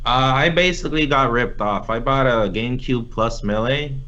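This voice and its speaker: A young man chats as an interview guest and tells his story in a loose, rambling way, full of fillers and restarts. His pitch sits in an ordinary middle range. The recording sounds a little dull and lo-fi. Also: moderate pace, bright, forceful tone. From Nicaragua. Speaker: interview guest, competitive gamer. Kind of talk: casual conversational storytelling. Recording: slightly dull lo-fi audio.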